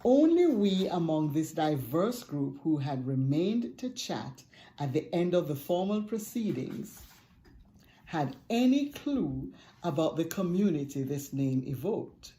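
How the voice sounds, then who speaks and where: An older woman, an author, reading a book's foreword aloud from her home study with expressive storytelling emphasis. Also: low voice, measured pace, rough recording. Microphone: webcam at desk, close framing.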